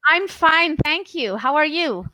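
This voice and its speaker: High bright Canadian woman, slow and halting. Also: lively intonation, dry recording, rough recording. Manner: hesitant, many fillers and restarts. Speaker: English tutor. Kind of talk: casual online conversation lesson. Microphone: video call.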